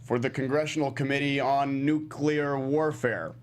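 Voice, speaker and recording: Man playing an earnest old gentleman giving speeches. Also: medium pitch, fairly even intonation, moderate pace, natural voice. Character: painfully genuine old man welcoming new interns.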